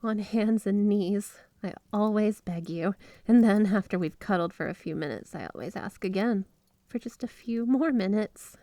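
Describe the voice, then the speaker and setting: A woman's voice: mid-range voice, normal speed, mellow, no room sound, clean studio sound, close to an everyday voice. A woman playing a flirty, obsessively devoted yandere wife in an ASMR roleplay, speaking in a sweet, clingy, gushing way. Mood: tender, needy. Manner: sweet, clingy, breathy giggles, gushing affection. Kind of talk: ASMR roleplay, addressing the listener as spouse. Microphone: close studio microphone, dry.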